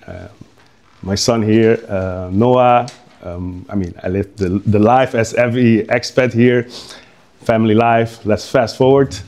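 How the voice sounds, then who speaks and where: A man's voice: lowish voice, fairly even intonation, a little slow, a little mellow. Hesitant man giving a rambling artist talk. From Curaçao. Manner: rambling, hesitant, many fillers and restarts. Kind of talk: informal artist talk to an audience.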